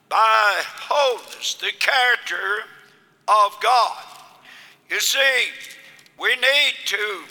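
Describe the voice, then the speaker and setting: A hoarse man preaches in a slow, drawn-out way, his gravelly voice pitched high and bright. A long reverb trails behind every phrase, as in a large hall. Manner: slow, drawn-out delivery rising into shouts. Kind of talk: sermon.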